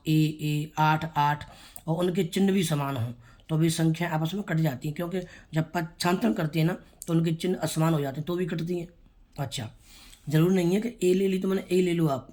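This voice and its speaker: A man teaching a school math lesson, with a sore throat he keeps clearing. He speaks straight to the children and repeats each step. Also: fairly high pitch, darkish tone. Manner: repetitive, explains step by step, addresses the children directly. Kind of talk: classroom math lesson for students.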